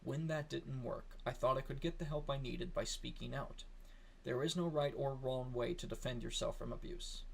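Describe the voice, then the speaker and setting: Guy with a gravelly fry in his voice reading a letter out, serious and measured, bit of background noise. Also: medium pitch, low-quality recording. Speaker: narrator reading a letter aloud. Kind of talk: solemn scripted reading.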